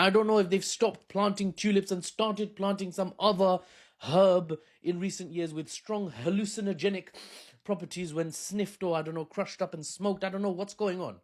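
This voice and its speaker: A man hosting a casual political commentary, animated and joking with sarcastic asides. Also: a little high. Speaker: host.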